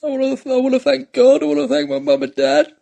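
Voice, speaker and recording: A woman's voice: high-pitched, big pitch swings, fast, creaky, mellow, exaggerated voice. A woman voicing a gushing, self-important award winner who falters through a speech. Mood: gushing, tearful gratitude.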